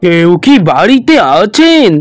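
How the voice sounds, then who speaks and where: A man's voice: high-pitched, big pitch swings, unhurried, no echo, faint music behind. A man voicing a sly wandering tantric beggar who pleads for alms. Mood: pleading.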